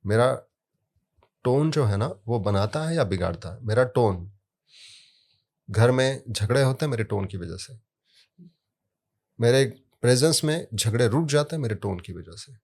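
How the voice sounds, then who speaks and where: A man explaining sound therapy in a relaxed podcast conversation, telling stories from his work and leaning hard on key words. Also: medium pitch, normal speed, long pauses. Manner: storytelling with anecdotes, emphatic rises on key words.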